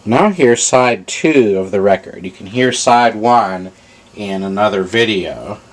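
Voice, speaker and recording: A man's voice: on the low side, lively intonation, unhurried. A man giving a plain spoken introduction to an archive recording.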